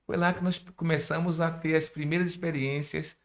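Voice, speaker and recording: Gravelly Bahian pastor giving testimony. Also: male voice, a little high, flat delivery, moderate pace. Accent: Bahian Portuguese. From Brazil. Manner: preachy, addresses youth directly. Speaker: pastor and missionary. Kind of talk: testimony in a church interview.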